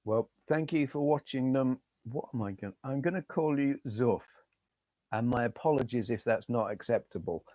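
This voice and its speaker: A middle-aged man with a hoarse voice, chatting casually to viewers on a retro gaming live stream and reading out their names. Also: mid-range voice, normal speed, dark tone, no echo. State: hoarse, losing his voice.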